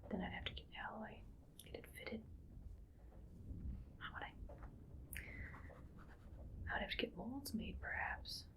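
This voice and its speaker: A woman playing a musing, matter-of-fact mad scientist in an ASMR medical roleplay, murmuring in a breathy whisper with creaky edges. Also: mid-range voice, close to an everyday voice, rough recording. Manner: unhurried, murmuring to self, clinically detached. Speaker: ASMR performer.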